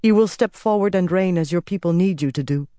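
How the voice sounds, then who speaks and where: A man's voice: high-pitched, dark tone, no room sound, clean studio sound, voice pushed up, natural voice. Man voicing a cold, imperious young empress. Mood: commanding. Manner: measured, haughty, clipped commands.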